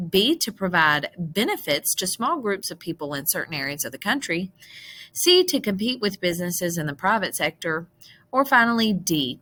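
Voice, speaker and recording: Bright-voiced woman reading a quiz aloud. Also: on the low side. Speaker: teacher. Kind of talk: reading a multiple-choice test aloud for students.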